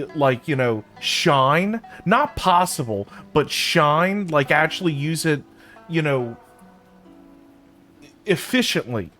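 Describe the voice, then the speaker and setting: A man livestreaming a game and chatting casually with his viewers in an informal, halting, repetitive way. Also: a little high, a bit bright. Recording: faint game music behind. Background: faint game music.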